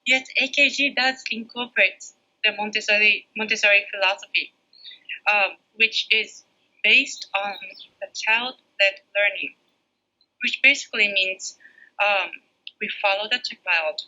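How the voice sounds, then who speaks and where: A middle-aged woman from Japan, raised in the United States, a preschool director giving thoughtful interview answers with many pauses. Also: mid-range voice, pushed and bright. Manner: thoughtful, pauses often with fillers. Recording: muffled lo-fi audio.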